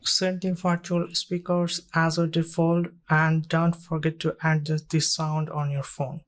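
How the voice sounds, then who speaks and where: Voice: mid-range voice, level tone, a little slow. A narrator reading scripted step-by-step tech tutorial instructions in a plain, instructional way.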